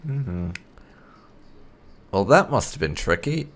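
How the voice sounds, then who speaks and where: A man hosting a video game playthrough, commenting and reading out dialogue choices in roleplay, often halting to think aloud. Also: lowish voice, very animated. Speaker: gaming channel host.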